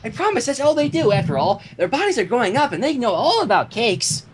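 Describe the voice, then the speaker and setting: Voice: medium pitch, sing-song, quick pace, noisy background, pitched above the performer's own voice, natural voice. A reader voicing a hyperactive, cheerful young female cartoon pony with a gushing, singsong manner. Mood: chirpy.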